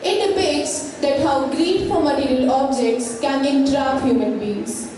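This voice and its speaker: A woman's voice: sits high, measured pace, warm tone, a little hiss or hum. A woman delivering scripted narration to introduce a stage play. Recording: echoey hall, distant mic, music behind.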